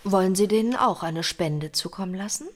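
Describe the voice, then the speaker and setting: An actress playing a quick-witted detective's secretary who puts sceptical, teasing questions in radio crime drama dialogue. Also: female voice, mid-range voice, natural voice.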